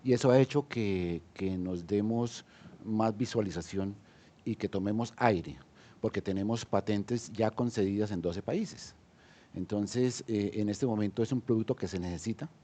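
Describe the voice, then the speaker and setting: A Colombian man speaking on a conference panel in a low, mellow voice. He talks hesitantly, full of fillers and restarts as he explains his work. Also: normal speed. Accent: Colombian Spanish. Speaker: entrepreneur panelist. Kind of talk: panel discussion.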